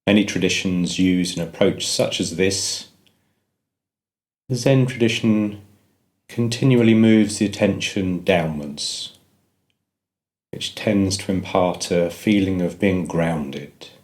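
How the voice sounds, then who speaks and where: Male meditation guide, low-pitched voice, gentle measured delivery with pauses.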